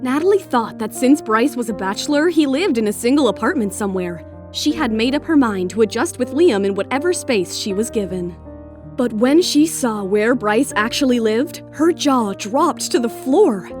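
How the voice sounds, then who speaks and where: A woman's voice: on the high side, expressive, moderate pace, a little mellow, faint music behind. A woman narrating a scripted audio drama as storyteller.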